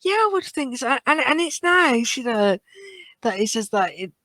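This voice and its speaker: A British woman in casual fan chat as a podcast co-host, halting as she thinks aloud. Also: high voice, very animated, fairly bright tone, no echo.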